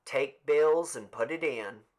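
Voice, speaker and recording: A man voicing a brisk, formal village official in an audiobook, calling out orders in a commanding way. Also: medium pitch, pitched above the performer's own voice, lightly acted. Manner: commanding, formal, loud announcing.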